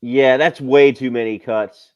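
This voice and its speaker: A man's voice: medium pitch, steady tone, a little slow, clear, resonant tone, muffled recording. A man chatting casually as a co-host on a stream, commenting on a video. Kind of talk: casual chat reacting to a video.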